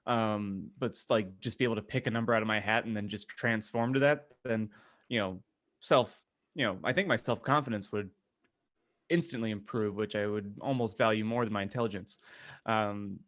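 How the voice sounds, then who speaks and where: A man's voice: on the low side, moderate pace, a bit bright. A man co-hosting a podcast in casual, joking conversation. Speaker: podcast co-host.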